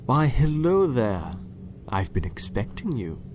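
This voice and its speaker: A man's voice: medium pitch, sing-song, takes it slowly, noisy background. A man acting a sinister, urbane doctor in an audio drama, polite, theatrical and condescending, with cool menace. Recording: loud music behind the voice. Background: loud music.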